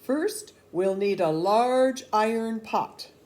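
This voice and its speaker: A woman's voice: on the high side, animated, slow pace, darkish tone, a little hiss or hum, voice pushed up. A woman voicing hungry, hopeful soldiers in a storybook, with an emphatic, declaiming delivery. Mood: bold, proclaiming. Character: hungry, bold, hopeful marching soldiers, adult men. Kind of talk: storybook character reading.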